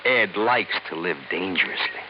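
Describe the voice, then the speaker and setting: A man's voice: sits low, big pitch swings, normal speed. A man playing a mocking, idle drinker in an old radio drama, needling others with amused endearments. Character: worthless, taunting male drinker, mocking and amused. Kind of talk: mid-century radio drama acting. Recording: muffled, noisy old radio recording.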